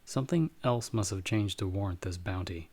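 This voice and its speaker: Low-voiced, measured bounty hunter played by a man. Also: a little mellow. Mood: calm, watchful. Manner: measured, explanatory, slightly formal. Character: calm, watchful male bounty hunter with magical wards. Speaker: audio roleplay performer. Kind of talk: ASMR audio roleplay acting, speaking to the listener as companion.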